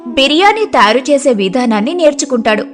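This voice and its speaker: Woman, storyteller, scripted narration, high pitch, faint music behind. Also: big pitch swings, a little fast.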